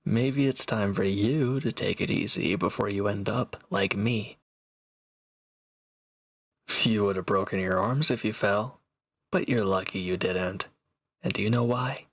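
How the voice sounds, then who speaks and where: Gravelly, cocky reformed villain played by a man. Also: fairly low pitch, measured pace, pauses often, a bit bright, dry recording. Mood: smug, bossy. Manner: cocky, teasing, talking directly to one listener. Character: proud, teasing reformed male villain, a battle-hardened swordsman. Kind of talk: scripted audio roleplay, intimate one-sided dialogue.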